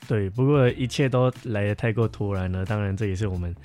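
A man's voice: lowish voice, brisk, darkish tone, soft background music. A young man with a Taiwanese Mandarin accent chatting casually and reflectively as a podcast co-host, explaining things as he goes. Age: young adult.

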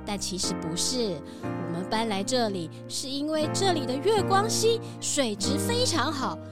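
A woman's voice: high-pitched, very animated, measured pace. A woman playing a bleating goat boss lady. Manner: bleats now and then, proud and friendly. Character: gentle female goat cookie factory owner. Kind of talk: children's radio drama. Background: background music.

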